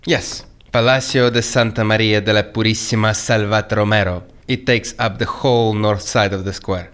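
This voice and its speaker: Guy playing bossy formal captor in audio drama, lowish voice, all business, very matter of fact. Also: steady tone, moderate pace, fairly bright tone, clear, resonant tone, natural voice. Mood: businesslike, commanding. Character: businesslike male captor, commanding and formal, plotting assassination.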